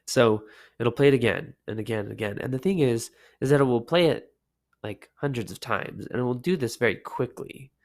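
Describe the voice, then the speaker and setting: A man hosting a casual solo podcast, sick with a lowered, deep voice, talking in a relaxed, rambling way with many fillers. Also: a little fast. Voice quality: deep, low voice. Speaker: podcast host.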